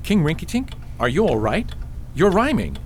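A man's voice: a little high, wildly swooping pitch, normal speed, a little hiss or hum. A man playing a practical, protective father and tin merchant in a radio fairy tale, speaking earnestly.

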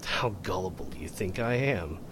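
An actor playing a smug, menacing male blackmailer in a radio drama, with a taunting, deliberate manner. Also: low-pitched, animated, fairly bright tone, slight background noise. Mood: menacing, mocking.

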